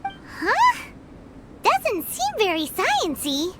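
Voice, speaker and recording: A woman voicing a small, feisty, childlike floating fairy companion in a video game, excitable and easily indignant. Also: extremely slow, heavy background noise, full character voice. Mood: doubtful, alarmed. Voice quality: squeaky, childlike. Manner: speaks of self in third person, feisty. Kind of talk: animated video game voice acting.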